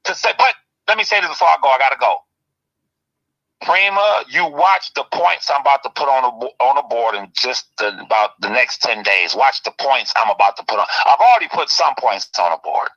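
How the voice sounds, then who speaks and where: A man hosting a heated call-in talk show, ranting with passion and swinging between loud outbursts and low, pressing remarks. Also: animated, a little fast, highs cut off. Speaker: commentary show host.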